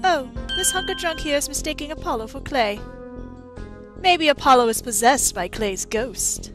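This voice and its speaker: Woman acting as a high-voiced scornful female scientist. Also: lively intonation, normal speed, music in the background. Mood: mocking. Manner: mocking, disdainful. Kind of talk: fan dub of a visual-novel video game.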